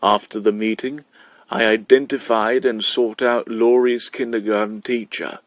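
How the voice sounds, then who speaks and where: A man's voice: on the low side, level tone, unhurried, dry recording. A man reading a short story aloud as scripted narration. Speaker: amateur story reader.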